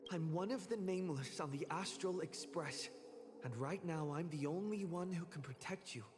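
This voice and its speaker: A man voicing an earnest, expressive young adventurer in a video game, reassuring and a little flustered. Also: on the high side, moderate pace, a crowd in the background, natural voice. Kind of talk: game voice acting.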